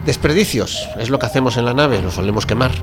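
A man's voice: mid-range voice, lively intonation, fast, music in the background, voice pushed up, natural voice. A man playing a courteous, fussy, deferential ship's doctor in a radio drama, speaking formally and politely. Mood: polite, apologetic. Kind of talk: amateur radio drama reading.

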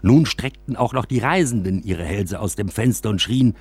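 A man reading a scripted literary story for radio as a first-person narrator, with expressive storytelling. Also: lowish voice, normal speed, creaky, breathy edge, no room sound.

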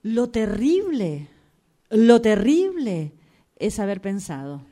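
A woman's voice: mid-range voice, sing-song, slow pace, dark tone. A woman reading a gloomy, brooding tramp in an amateur radio play.

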